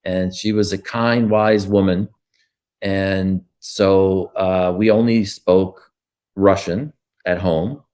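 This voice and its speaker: Older New man, low voice. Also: fairly even intonation, slow pace, a little mellow. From United States. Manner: reflective storytelling with frequent fillers and restarts. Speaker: interviewee. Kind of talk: oral history interview.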